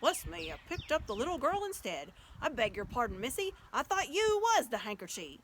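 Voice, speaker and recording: A woman's voice: a little high, very animated, fairly quick, fairly bright tone, a little hiss or hum. A woman voicing a huge, simple, polite male giant in a storybook, with folksy, ungrammatical and apologetic speech. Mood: flustered, apologetic.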